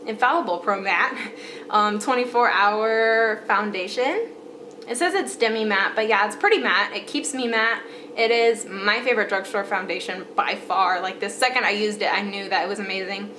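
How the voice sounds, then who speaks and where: A woman's voice: on the high side, moderate pace, pushed and bright, a little hiss or hum. A young woman with a stuffy, congested voice chatting casually through her favorite makeup products, with lots of fillers and repeated praise. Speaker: beauty vlogger.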